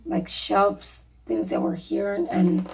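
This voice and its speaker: Woman, raspy and slightly hoarse, slow chatty how-to talk, mic a bit far off, faint noise. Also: on the low side, sing-song. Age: adult, a mother. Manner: chatty, apologetic, rambling with fillers. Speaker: DIY vlogger. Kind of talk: casual how-to narration while painting.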